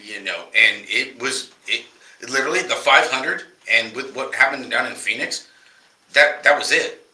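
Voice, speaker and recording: A man's voice: medium pitch, moderate pace, bright, forceful tone, recorded from a distance. A man co-hosting a casual livestream chat, loose and profane, slightly nasal from a cold. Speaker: podcast co-host. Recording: roomy echo, off-mic at times.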